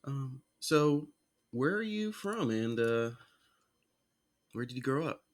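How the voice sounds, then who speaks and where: A man's voice: mid-range voice, big pitch swings, slow. A man hosting a podcast, asking curious, easygoing questions and reacting with surprise. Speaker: podcast host. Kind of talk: casual interview chat.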